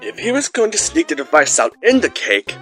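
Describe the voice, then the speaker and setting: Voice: medium pitch, big pitch swings, a little fast, fairly bright tone, faint music behind. A performer voicing a dramatic male alligator secret agent and doctor in a goofy fan dub, with a theatrical, emphatic delivery. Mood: earnest.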